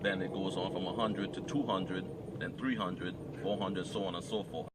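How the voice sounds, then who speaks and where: A man teaching a Hebrew class, explaining in a measured way and reading scripture aloud. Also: low-pitched, fairly even intonation, very noisy. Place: outdoor training camp.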